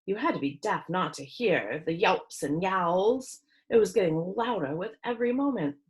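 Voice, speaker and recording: A woman reading a children's book aloud as a storyteller, with expressive narration. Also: on the low side, normal speed.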